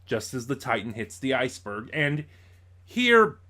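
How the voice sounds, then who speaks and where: A man giving an informal spoken review as host, in a halting way, stumbling over words and correcting himself. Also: medium pitch, big pitch swings, bright, forceful tone.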